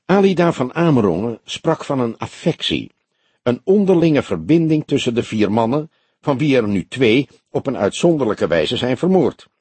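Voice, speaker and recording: A man narrating plays a grizzled old police detective for an audiobook. The voice is husky and swings freely in pitch, with a shrewd, mocking, deliberate delivery. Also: sing-song, a little mellow, no room sound. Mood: shrewd, measured.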